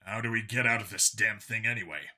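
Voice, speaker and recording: A man's voice: fairly low pitch, quick pace, sharp tone, pitched below the performer's own voice. A man voicing a blunt, sardonic human policeman stranded among aliens in a science fiction audiobook, insistent and plain-spoken. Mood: dry.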